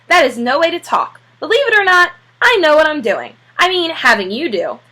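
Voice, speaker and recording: A woman's voice: sits high, very animated, normal speed, pushed and bright, voice pushed up, heavily acted. A woman voicing a proud, earnest man of the house in a storybook, insistent and slightly huffy. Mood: huffy, firm.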